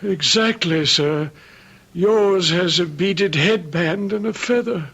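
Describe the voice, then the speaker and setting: An actor playing a mild-mannered, proper manservant in a radio drama, polite, tactful and a little hesitant. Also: male voice, high voice, takes it slowly, creaky, a bit bright, slightly rough, heavily acted. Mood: discreet, apologetic. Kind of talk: old-time radio drama acting. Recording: dull lo-fi radio drama recording.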